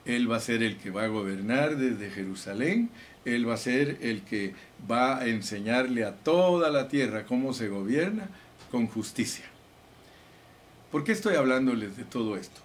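A man's voice: medium pitch, expressive, moderate pace, a little hiss or hum. A man preaching a sermon with fervor, calling his listeners brothers and reading scripture aloud. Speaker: pastor.

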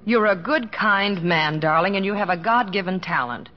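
A woman's voice: medium pitch, animated, normal speed, lightly acted. An actress playing a loving but firm middle-aged wife and mother with an American accent, in old-time radio drama style. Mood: firm, reproachful. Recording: muffled old radio recording.

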